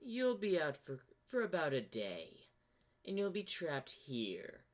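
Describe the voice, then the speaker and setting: An ancient corrupted zebra spirit, acted out by a man for a fan cartoon, sly and manipulative. He drawls at a very unhurried pace, theatrical and teasing, as if bored by everyone. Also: on the high side, wildly swooping pitch, pitched above the performer's own voice, exaggerated voice. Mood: smug, casual. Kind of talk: fan-made fantasy cartoon voice acting.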